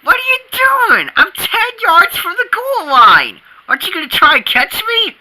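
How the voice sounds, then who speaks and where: A performer voicing a brash, excitable, mischievous little boy from a comic strip, loud and full of exclamations. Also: medium pitch, a little fast, poor audio. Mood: cocky, taunting.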